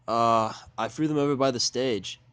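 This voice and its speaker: A man acts as a stubborn young guy in an audio drama. He sounds blunt, sarcastic and fed up, in a medium-pitched voice recorded dry. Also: normal speed, fairly bright tone, clean tone, no echo, natural voice. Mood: uneasy. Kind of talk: fan fiction audio drama dialogue.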